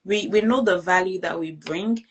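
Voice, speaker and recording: A woman's voice: fairly low pitch, moderate pace, breathy edge. A woman hosting a livestream interview, speaking in an encouraging, affirming way. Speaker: interview host, study-abroad agent.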